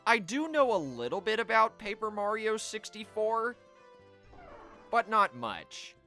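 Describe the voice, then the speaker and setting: A man live streaming a video game, chatting to viewers and commenting in an animated way. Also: high-pitched, unhurried, faint music behind. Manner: animated, voice-acts game dialogue.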